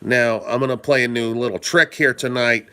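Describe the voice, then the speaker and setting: A man hosting a live stream, hoarse and stuffed up from a cold, talking casually in a rambling way and greeting viewers by name. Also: medium pitch, steady tone, normal speed, sharp tone. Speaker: livestream host.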